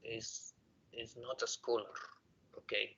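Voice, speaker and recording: A man's voice: medium pitch, level tone, gravelly, fairly bright tone, slightly rough. Hesitant man on a muffled call. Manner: hesitant, halting, many fillers. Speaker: caller. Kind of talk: debate call.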